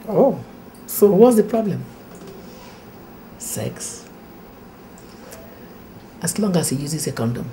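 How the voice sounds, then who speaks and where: A woman's voice: on the low side, very animated, takes it slowly, long pauses, a little hiss or hum. A woman playing a blunt teasing aunt. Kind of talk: Nollywood film acting. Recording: film soundtrack with loud background music.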